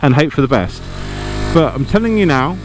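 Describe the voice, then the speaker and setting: A woman's voice: low voice, expressive, moderate pace. A woman with an English accent chats casually and ramblingly as she vlogs into a helmet mic while riding a motorbike. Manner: rambling, conversational, breaks off for asides. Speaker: motorcycle vlogger. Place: outdoors on a moving motorbike, in helmet. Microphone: lavalier mic in motorcycle helmet. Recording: loud motorbike engine and road noise.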